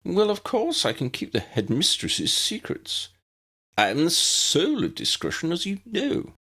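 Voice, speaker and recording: Man putting on a haughty high-pitched portrait lady. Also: huge pitch swings, a little slow, bright, forceful tone, slightly rough, exaggerated voice. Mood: proud, self-important. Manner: haughty, indignant, pompous. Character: haughty, self-important painted lady in a talking portrait.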